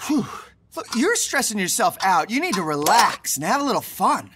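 An actor voicing a lively, easily exasperated cartoon character with an expressive, melodramatic delivery. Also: medium pitch, a little slow, noisy background. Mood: breezy. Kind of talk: animated cartoon voice acting. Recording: music behind the voice.

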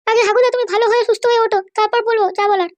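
A man voicing a caring cartoon aunt who coaxes and reassures in a squeaky voice. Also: steady tone, very fast, no room sound. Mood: caring, reassuring. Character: caring, soothing cartoon aunt tending the wounded. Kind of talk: amateur comedy cartoon dubbing.

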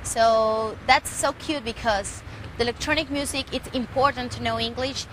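A woman's voice: on the high side, fairly even intonation, normal speed, noisy background. A young woman from Medellín, a singer with a Colombian Spanish accent, talking earnestly in English as her second language and searching for words, interviewed outdoors in a garden. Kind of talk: casual interview.

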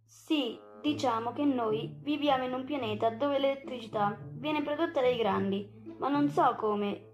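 A woman's voice: high-pitched, measured pace, echoey room, highs cut off, over music. A woman voicing a small, naive young alien boy, timid and hesitant, in a children's cartoon. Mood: earnest, unsure. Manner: hesitant, innocent.

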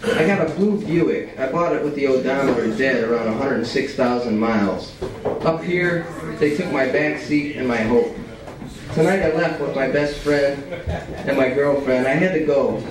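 A man's voice: mid-range voice, moderate pace. Young man reading in a very echoey cafe. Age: young adult. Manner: colloquial, profane. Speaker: writer reading his work. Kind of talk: live reading of prose and poetry at a microphone. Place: small dim cafe, indoors. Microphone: stand microphone, picked up by far camera. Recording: echoey cafe, distant camcorder, crowd chatter. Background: audience chatter.

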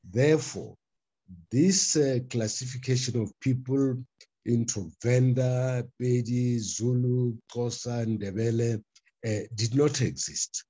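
An older man presenting a formal paper on a video call from a book-lined study, speaking deliberately with frequent pauses and fillers. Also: mid-range voice, mellow, slightly rough. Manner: deliberate, hesitant delivery with frequent uh fillers and restarts. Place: study with bookshelves, indoors. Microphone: laptop webcam on a video call.

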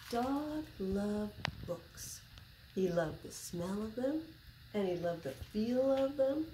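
A woman in her sixties reading a picture book aloud to children, expressive and deliberate, as a library storyteller. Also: mid-range voice. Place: small public library room, indoors. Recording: roomy echo, faint background noise.

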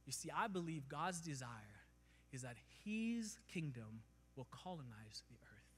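A man in his thirties from Kenya, preaching a sermon on stage with a handheld mic, building to loud emphatic peaks. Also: a little high, animated, a little slow, slightly rough. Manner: preaching with rising emphasis, gesturing while walking the stage. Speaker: pastor. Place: large church stage, indoors. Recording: long hall reverb.

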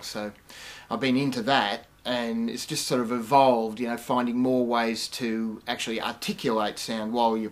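A middle-aged man explaining his work in a casual, rambling, wry way. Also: mid-range voice. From Australia. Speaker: musician and inventor. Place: small cluttered home studio indoors.

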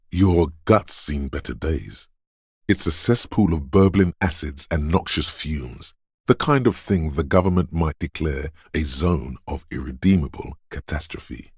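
Game casting: grandiose, sardonic inner voice of endurance, slow and gravel-throated, very dry close sound. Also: male voice, no room sound, exaggerated voice. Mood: sardonic, grim. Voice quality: very deep, gravelly, husky rasp. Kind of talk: video game voice acting.

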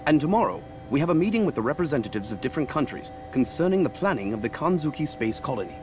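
Formal butler, animated pitch. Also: male voice, fast talker, over music. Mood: formal, businesslike. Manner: formal, deferential, dramatic. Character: devoted, formal butler, earnest and easily awed. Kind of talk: video game voice acting.

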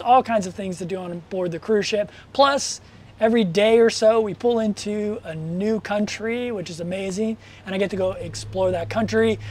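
Chatty male vlogger, forties, high voice, upbeat and explanatory, lapel mic outdoors. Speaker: travel vlogger. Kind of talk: casual talking-head vlog. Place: outdoors on a cruise ship balcony by the sea. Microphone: clip-on lapel mic.

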